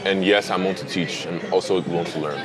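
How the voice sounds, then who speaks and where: A man's voice: low-pitched, fast talker. A young man from Nigeria with a Nigerian accent, chatting casually and laughing easily on a blind date game. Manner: relaxed, laughs easily, repeats phrases. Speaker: dating game player. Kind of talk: casual conversation. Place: outdoor city restaurant. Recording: music and crowd behind. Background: music and chatter.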